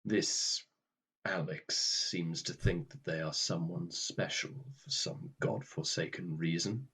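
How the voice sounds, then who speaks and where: Guy acting grim reaper type, low husky voice, slow and formal, weary, radio play. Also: fairly even intonation, fairly bright tone, full character voice. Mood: preoccupied, puzzled. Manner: formal, dry, understated.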